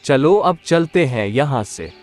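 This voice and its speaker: Woman putting on a gruff impatient crow husband. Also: a bass voice, expressive, unhurried, a little mellow, pitched below the performer's own voice. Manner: brusque, dismissive. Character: male crow, adult husband, dismissive and impatient. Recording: music underneath.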